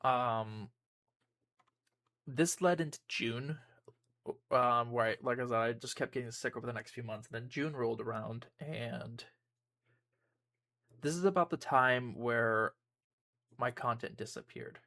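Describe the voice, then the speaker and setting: A man's voice: mid-range voice, a little slow, gravelly, long pauses, bright, forceful tone. A young man, a YouTuber and streamer, giving a casual personal update to his viewers, rambling with frequent ums and restarts.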